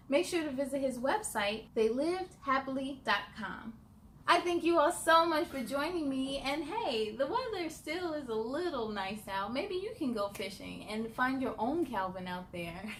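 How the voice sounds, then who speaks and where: Animated, high-pitched woman reading to children. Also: a little hiss or hum. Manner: animated, dramatic, addressing children directly. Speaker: children's story time host. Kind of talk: expressive storybook read-aloud and chat to young viewers.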